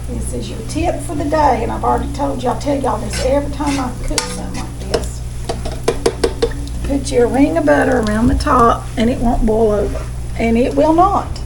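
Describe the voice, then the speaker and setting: Middle-aged woman, Southern American, home cooking host, chatty, high register, noisy kitchen recording. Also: moderate pace. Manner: folksy, chatty. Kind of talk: casual cooking demonstration chat.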